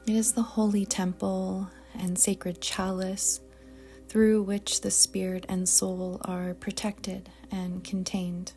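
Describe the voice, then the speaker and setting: A woman guiding a yoga nidra meditation in a soft, breathy, calm and deliberate voice that often trails toward a whisper. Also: medium pitch, faint music behind. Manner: calm, soothing, gentle, deliberate. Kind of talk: slow guided meditation narration.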